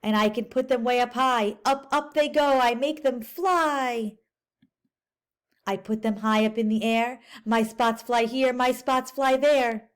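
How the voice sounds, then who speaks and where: An eager spotted creature, put on by a woman reading aloud, shows off in bouncy storybook rhyme. The voice sits fairly high and moves at an unhurried pace. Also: clean tone, lightly acted. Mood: boastful. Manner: bouncy rhyming storybook delivery. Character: boastful spotted male creature, eager show-off.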